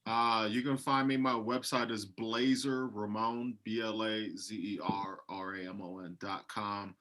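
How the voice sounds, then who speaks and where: A man's voice: lowish voice, fairly even intonation, a little slow, a bit bright. A man from Ohio, a comedian guest on a podcast video call, talking in a laid-back, rambling way. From Ohio, USA. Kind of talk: casual podcast chat.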